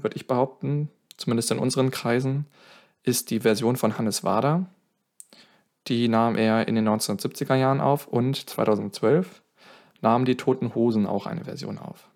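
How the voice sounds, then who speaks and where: A man's voice: mid-range voice, fairly even intonation, normal speed, a little mellow. A man telling a song's history in casual podcast talk, often correcting himself mid-sentence. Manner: explains at length, self-correcting, thinking aloud. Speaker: podcast co-host.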